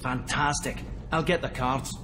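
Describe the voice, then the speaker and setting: An actor playing a cheeky, friendly ship engineer in a video game. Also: male voice, mid-range voice, fairly even intonation, unhurried, close to an everyday voice. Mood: cheerful, eager. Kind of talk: video game character dialogue. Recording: noisy room ambience.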